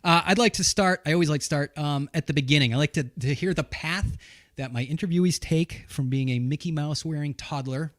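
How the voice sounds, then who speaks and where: A man's voice: on the high side, a little fast, a bit bright, no room sound, studio quality. A man from New Hampshire hosting a casual podcast interview, bantering and laughing easily, with rambling asides. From New Hampshire, USA. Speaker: podcast host.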